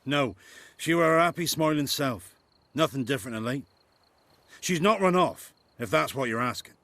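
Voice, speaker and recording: A man's voice: on the high side, lively intonation, normal speed, creaky, fairly bright tone, no echo. Troubled village huntsman. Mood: troubled, defensive. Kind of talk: video game voice acting.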